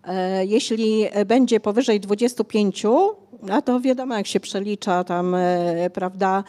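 A woman's voice: mid-range voice, a little slow, dark tone. An older woman, an author being interviewed on a bookshop stage, explaining at length with many hesitations, speaking into a handheld mic. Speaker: author guest. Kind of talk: conversational interview. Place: bookshop cafe stage, indoors.

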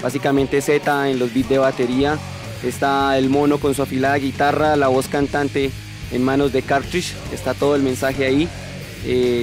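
A man in his thirties with a Colombian accent, a musician guest answering questions in a radio skit, close on a studio mic. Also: mid-range voice. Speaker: band bassist, guest. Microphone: close broadcast microphone.

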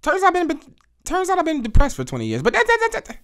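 A man in his thirties talking alone on his podcast, blunt and profane, repeating phrases as he tells stories, recorded on a studio boom mic in a small room. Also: huge pitch swings, fast, a bit bright. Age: 30s. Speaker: podcast host. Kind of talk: casual solo podcast monologue. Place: small indoor studio with photo wall. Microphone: studio mic on boom arm, headphones on.

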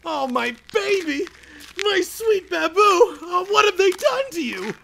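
An extremely high, sharp, melodramatic character from a comic anime audio drama. The delivery is drawn out and overwrought, on a slightly noisy, clicky recording. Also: female voice, big pitch swings, measured pace, vocal fry, exaggerated voice. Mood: wailing, overwrought. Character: melodramatic motorbike owner wailing over a beloved vehicle.

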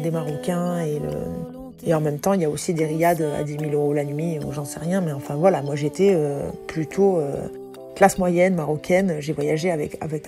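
Rambling low-voiced woman over music. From France. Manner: rambling, many hesitations. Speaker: singer-songwriter. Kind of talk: casual spoken commentary over music clips. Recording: music playing under the voice.

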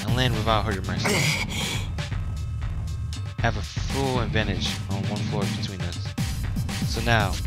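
Slow-paced man over game music. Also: fairly low pitch. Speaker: streamer playing a visual novel. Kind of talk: reading game text aloud with casual reactions.

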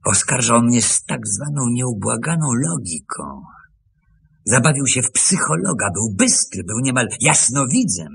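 An actor playing a bitter, determined man in a radio drama, brooding and emphatic, with a husky, gravelly voice. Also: mid-range voice, very animated, a bit bright, exaggerated voice. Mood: bitter, ironic. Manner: brooding, emphatic, halting outbursts. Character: bitter younger man, wronged former apprentice, agitated and determined. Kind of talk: Polish radio drama acting.

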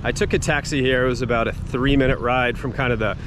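Brief: man, 40s, travel vlogger, loose casual walk-and-talk, mid pitch, arm's-length action cam, heavy street noise behind. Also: fairly even intonation, quick pace. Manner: chatty, upbeat, loose and rambling. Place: busy city streets and an empty riverside boulevard, outdoors, hot day. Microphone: action camera held at arm's length. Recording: loud outdoor street noise.

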